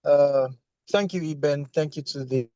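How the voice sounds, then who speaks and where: A man from Lagos, a management consultant, speaking on a video call from his car in a measured, deliberate way. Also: fairly high pitch, mellow, dry recording, muffled recording. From Lagos, Nigeria. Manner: measured and deliberate, with a light joking touch. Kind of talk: panel discussion on a video call. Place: inside a car while driving.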